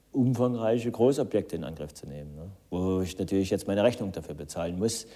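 A man's voice: fairly low pitch, dark tone. A man giving a formal, verbose, self-important interview statement as an entrepreneur.

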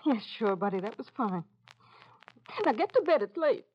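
A woman's voice: fairly high pitch, big pitch swings, normal speed, breathy edge. A woman playing a worn, anxious, loving mother, hesitant and plain-spoken, in an old radio drama. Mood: gently motherly. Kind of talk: 1940s-50s radio drama acting. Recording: muffled, noisy old radio recording.

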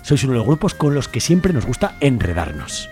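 Lively Spanish radio host, very animated intonation. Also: male voice, medium pitch, brisk, soft background music. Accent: Spain. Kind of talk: casual radio interview.

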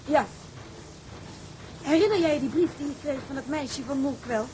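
An actress playing a bold, stubborn seafaring wife in a Dutch period drama, with a firm, plain-spoken way of talking. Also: female voice, high-pitched, normal speed, darkish tone, a little hiss or hum, natural voice, low-quality recording. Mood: worried, determined.

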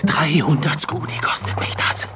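An actor playing a wary, scornful tavern innkeeper in a radio drama, grumbling and muttering. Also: male voice, moderate pace, over loud music, close to an everyday voice. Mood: bitter, scolding. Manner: muttering, scolding.

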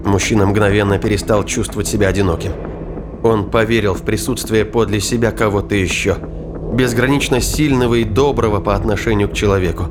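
A man's voice: on the low side, steady tone, fairly quick. A man giving scripted dramatic narration as the narrator of an audio play. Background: music.

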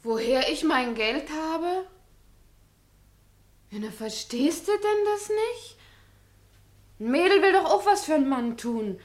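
A woman's voice: sits high, sing-song, slow, long pauses, exaggerated voice. A woman playing a devoted, girlish young sweetheart who pleads in a radio drama. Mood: pleading, tender.

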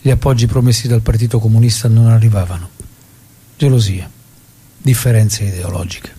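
An actor playing a solemn narrator, telling a revolutionary's story in measured, dramatic stage style. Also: male voice, lowish voice, fairly even intonation, dark tone, slightly rough. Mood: somber. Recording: music behind the voice.

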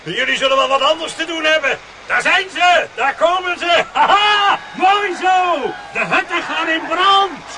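A man's voice: very high-pitched, measured pace, a loud crowd, heavy background noise. An actor playing a vengeful, taunting rebel in a radio drama, defiant and sneering as he declaims. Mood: gleeful, shouting. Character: vengeful, taunting rebel man cursing his rich enemy.

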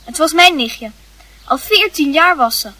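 A woman playing a cocky schoolboy in a radio play, eager to tell secrets in a boastful, halting way. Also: high-pitched, expressive, sharp tone, no echo, full character voice, rough recording. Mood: eager, secretive.

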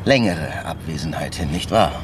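A man's voice: a bass voice, lively intonation, normal speed, noisy background. A male actor playing a shrewd, persistent police inspector in a radio crime drama, insinuating and mock-deferential as he argues step by step. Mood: pressing, reasoning. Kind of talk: naturalistic German radio crime drama acting.

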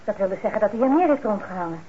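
An actress playing a fretful, bossy but caring middle-aged daughter in a radio play, nagging briskly. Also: female voice, medium pitch, animated, close to an everyday voice. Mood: worried. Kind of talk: Dutch radio play acting. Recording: dull, noisy old radio recording.

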